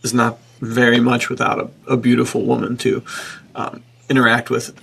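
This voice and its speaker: A man's voice: mid-range voice, flat delivery, normal speed, gravelly, fairly bright tone, breathy edge. A man hosting a podcast in a casual, enthusiastic, effusive conversational style. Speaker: podcast host.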